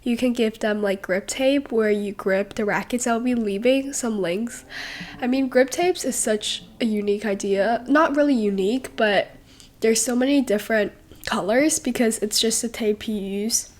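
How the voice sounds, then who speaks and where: A young woman hosting a podcast in a rambling, casual way, full of fillers and pauses, while sick with a cold. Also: fairly high pitch. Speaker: podcast host. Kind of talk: casual solo podcast talk.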